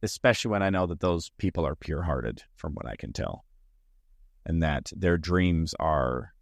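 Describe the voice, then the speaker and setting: A man's voice: low-pitched, no room sound, studio quality. A man hosting his own comedy podcast, talking alone in an earnest, reflective way and stringing his thoughts into long lists. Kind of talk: solo podcast monologue. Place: lives in Arizona.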